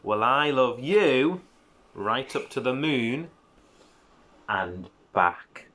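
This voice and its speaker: A storyteller voicing a big, patient grown-up male hare, gentle and affectionate, in a picture-book tale. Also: mid-range voice, expressive, moderate pace, natural voice. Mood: tender, sleepy.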